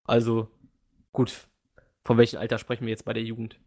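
A young man co-hosting a podcast in casual chat, easygoing, with halting restarts. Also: mid-range voice, completely flat delivery, creaky, a little mellow. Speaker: podcast co-host.

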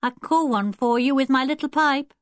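A woman's voice: high voice, fairly quick, no room sound, clean studio sound, pitched above the performer's own voice, lightly acted. A woman voicing a cocky storybook boy. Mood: boisterous. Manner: taunting, offhand. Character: cocky, rude, teasing young boy in a storybook.

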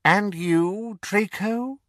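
A man voicing a cold, menacing male dark lord with a quiet, carrying voice, speaking slowly and mockingly. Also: high-pitched, dry recording, studio quality, pitched above the performer's own voice, heavily acted. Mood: sneering. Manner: slow, silky and mocking. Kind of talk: audiobook character voice.

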